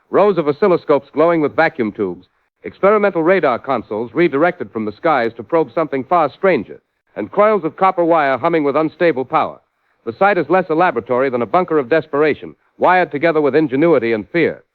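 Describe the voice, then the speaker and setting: A man's voice: a little high, dry recording, lightly acted. A man playing an earnest, formal wartime radio correspondent reporting dramatically from the field. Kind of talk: 1940s radio reporting acting. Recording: thin phone-like radio transmission.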